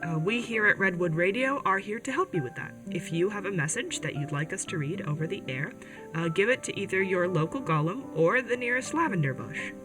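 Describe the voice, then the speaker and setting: A woman's voice: mid-range voice, animated, fairly quick, natural voice. A woman playing an earnest, caring older sibling who hosts a community radio show in an audio drama, chatty and a little hesitant. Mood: warm, hopeful. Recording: music bed behind the voice.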